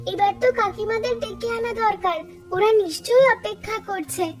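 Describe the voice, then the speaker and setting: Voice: very high voice, brisk, over music. An actor voicing a chatty, teasing young village girl in a cartoon story. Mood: teasing, bossy. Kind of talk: Bengali cartoon story dialogue.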